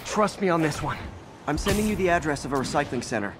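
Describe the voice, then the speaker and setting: An American man playing an earnest, quick-witted young masked superhero in a video game, in a conversational way. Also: fairly high pitch, lively intonation, music in the background, natural voice. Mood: urgent.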